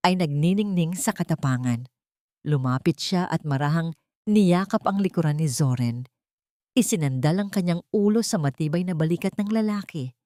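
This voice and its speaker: A woman narrating a scripted romantic drama in a measured, solemn, sentimental storytelling manner. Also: fairly low pitch, a little mellow, no room sound, studio quality. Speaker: narrator of audio drama stories.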